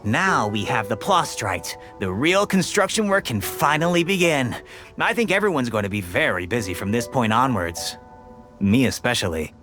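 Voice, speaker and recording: Earnest working man in a game,, mid-pitched, very varied intonation. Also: sing-song, normal speed, bright, forceful tone, soft background music. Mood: musing. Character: earnest, busy male construction worker. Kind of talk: video game voice acting.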